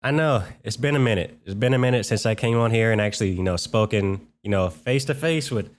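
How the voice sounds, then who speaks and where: A man's voice: on the low side, fairly quick, a little hiss or hum. A man giving an unscripted, casual game review as host, informal and rambling with self-corrections, slightly under the weather with a cold. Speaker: gaming video host and reviewer.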